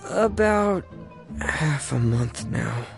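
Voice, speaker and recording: A woman playing a shy, withdrawn teenage younger brother in a video game, halting and timid. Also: deep voice, expressive, exaggerated voice. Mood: reluctant. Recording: music clearly behind the voice.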